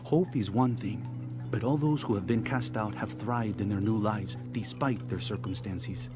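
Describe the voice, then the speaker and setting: Give the game a proud exiled gambler, played by a man, with a low voice and a measured delivery. He philosophizes and teases like someone who knows the rules better than you, with music under him. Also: close to an everyday voice. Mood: teasing, wise. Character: proud cast-out man, sly dice player turned rebel informant. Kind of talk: video game cutscene acting.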